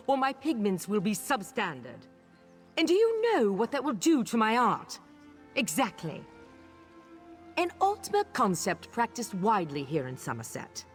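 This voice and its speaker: Haughty female elf perfectionist, high voice with dramatic swoops, fussy game-character delivery. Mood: haughty, dismissive. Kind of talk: video game character dialogue. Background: game music.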